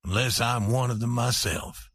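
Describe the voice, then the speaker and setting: A man voicing a bitter, resentful rancher husband who talks slowly, low and brooding. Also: fairly even intonation, a bit bright, husky, no echo, studio quality.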